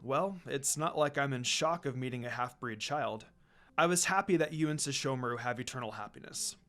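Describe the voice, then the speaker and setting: A man's voice: medium pitch, fairly quick, fairly bright tone, soft background music, pitched below the performer's own voice, lightly acted. Man reading as a kindly, curious anime father. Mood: gentle, curious. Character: kindly, long-lost father, gentle and curious.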